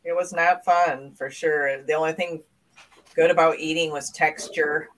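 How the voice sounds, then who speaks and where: A man chatting in an easygoing, halting way. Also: on the high side, level tone, a bit bright, slight background noise, rough recording. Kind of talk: casual chat.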